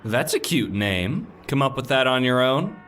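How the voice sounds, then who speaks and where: A man's voice: mid-range voice, big pitch swings, normal speed, a bit bright. An actor playing a sarcastic male ghost hunter who quips his way through an audio drama. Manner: sarcastic, quippy. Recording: noisy street traffic ambience.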